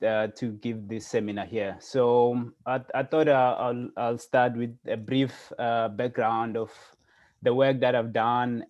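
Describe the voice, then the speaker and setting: A middle-aged man from South Africa lecturing over a video call at an average pitch. He talks hesitantly, filling pauses with "uh" and restarting his sentences as he explains the material. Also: darkish tone. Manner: hesitant, many fillers and restarts. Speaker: guest lecturer. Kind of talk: academic seminar talk over video call.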